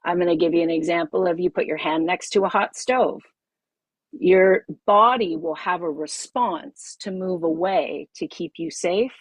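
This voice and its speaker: A middle-aged woman, a yoga teacher, leading a group masterclass over a video call, emphatic and expressive, repeating her key phrases. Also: on the low side, moderate pace, no room sound, low-quality recording.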